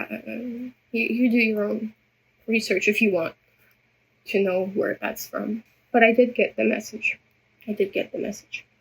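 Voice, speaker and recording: Rambling, gravelly-voiced woman vlogger. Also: mid-range voice, poor audio. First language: Russian. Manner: rambling, halting, many restarts and stammered repeats. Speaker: vlogger addressing viewers. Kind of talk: unscripted personal monologue. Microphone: phone camera.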